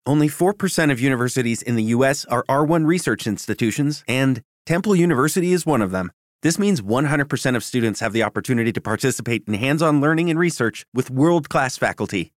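A man's voice: medium pitch, expressive, fast, no room sound. A male announcer reads scripted ad copy in a mid-range voice. The recording is close and dry, with no room sound. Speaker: advertisement announcer. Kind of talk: scripted ad read.

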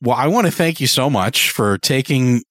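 A man's voice: medium pitch, animated, moderate pace, fairly bright tone, dry recording, clean studio sound. A man hosting a podcast in a conversational, reflective way, often rephrasing as he goes. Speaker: podcast host interviewer. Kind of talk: conversational interview and host talk.

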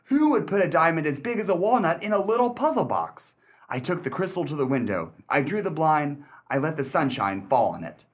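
A man acting as a shrewd, sardonic gentleman narrator in a mystery radio play, speaking with deliberate, theatrical emphasis and dry wit. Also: on the high side, sing-song. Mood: amazed.

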